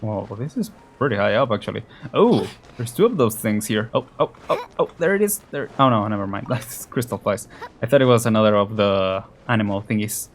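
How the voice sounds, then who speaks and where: A man's voice: on the low side, animated, fairly quick, a little hiss or hum. A man giving casual let's play commentary, tossing in short reactions and chuckling asides as he plays. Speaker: gaming YouTuber. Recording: faint game music behind the voice.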